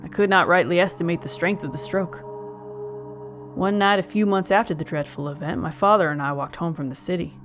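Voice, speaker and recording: An actor playing a haunted young gentleman, narrating a gothic tale in formal, melancholy, literary speech. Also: mid-range voice, fairly quick, soft background music, close to an everyday voice. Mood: wistful, mournful. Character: young man Joel Hetman Jr, mournful, haunted, genteel narrator. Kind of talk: dramatic first-person gothic audio narration.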